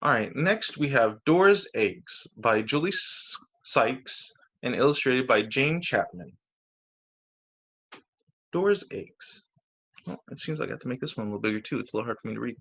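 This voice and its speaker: A man's voice: mid-range voice, animated, normal speed, a bit bright, slightly rough. A man reading picture books aloud to children as a teacher, narrating over a video call. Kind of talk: storytime narration read aloud.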